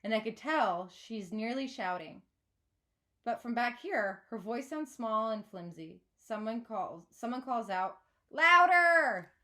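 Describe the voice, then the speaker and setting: A woman reading a children's novel aloud like a teacher, in an expressive storytelling manner. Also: on the high side, unhurried. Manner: clear storytelling with acted dialogue.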